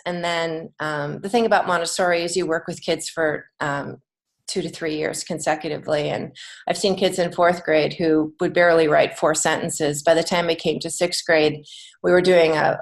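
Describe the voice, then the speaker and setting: A middle-aged American woman with a fairly low voice talks as a guest in an interview. She explains things in an earnest, conversational way and leans on key phrases for emphasis. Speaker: podcast guest, children's author and teacher.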